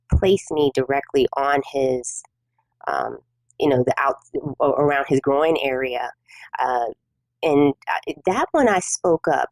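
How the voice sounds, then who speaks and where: A middle-aged woman from South Korea, a native Korean speaker, sharing her personal testimony as a guest in an interview, speaking softly with many pauses. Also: a bass voice, no room sound, rough recording. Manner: soft-spoken and measured, many pauses and fillers. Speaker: guest minister.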